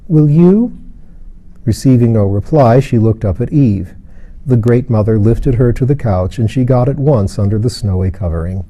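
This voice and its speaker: A man's voice: medium pitch, mellow. A man reading literary fiction aloud as an audiobook narrator. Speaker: audiobook reader.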